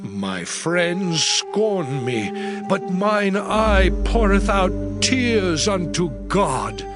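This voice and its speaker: An actor playing an anguished, suffering man who laments bitterly in a slow, impassioned, theatrical dramatized Bible reading. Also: sits high, big pitch swings, heavily acted. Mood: pleading, sorrowful. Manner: slow, drawn-out, impassioned declaiming. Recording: loud background music. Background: music.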